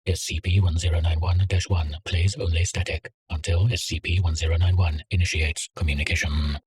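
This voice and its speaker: A man reading a containment document aloud in a measured, clinical way. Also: sits low, level tone, darkish tone. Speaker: narrator. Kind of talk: scripted reading of a containment file.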